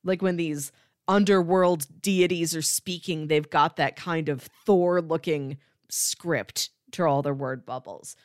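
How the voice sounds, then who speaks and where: Lower-voiced woman in casual chat. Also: steady tone, slow, no room sound, studio quality. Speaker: podcast co-host discussing comics. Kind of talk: casual chat, book review.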